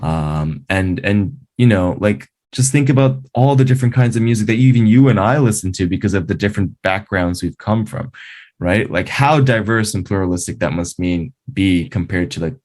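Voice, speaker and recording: Low-voiced man, composer guest, thoughtful and filler-heavy casual talk. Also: moderate pace, a little mellow. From Canada. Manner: thoughtful, many fillers like "you know" and "like".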